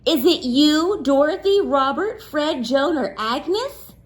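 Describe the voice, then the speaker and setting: A woman's voice: high voice, lively intonation, slow pace, a little hiss or hum, voice pushed up. A woman voicing a firm but kindly schoolteacher in a children's storybook, instructing her class. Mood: questioning.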